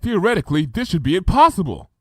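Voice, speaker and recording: A man narrating a comic fan story for an audiobook, with a fairly high voice for a man. He storytells in lively, wide-swinging tones. The recording is close and dry, with no room sound. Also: quick pace, breathy edge, no room sound. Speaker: fanfiction reader.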